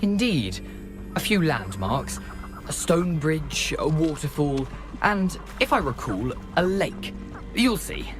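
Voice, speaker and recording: An actor playing a cheery, roguish decapitated ghost in a video game, with a British accent and a wry, theatrical, chatty manner. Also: male voice, a little high, big pitch swings, slow pace, music in the background. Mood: chatty, guiding. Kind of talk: video game character acting.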